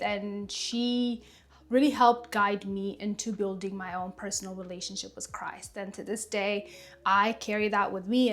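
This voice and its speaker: A woman in her thirties from Ethiopia, chatting casually to camera at home while doing her skincare, rambling and correcting herself as she goes. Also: a little high. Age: 30s. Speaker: lifestyle vlogger.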